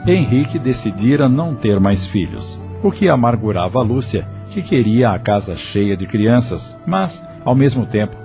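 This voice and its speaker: A man's voice: mid-range voice, very animated, normal speed, few pauses. A man with a Brazilian accent narrating a dramatic audio novel from a script. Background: soft music.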